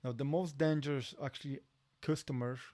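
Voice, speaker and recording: A middle-aged man with a Polish accent speaks English as a second language, chatting casually as a podcast guest with halting phrasing, repeated words and many fillers. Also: medium pitch, steady tone, dark tone. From Poland. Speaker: podcast guest, door security worker.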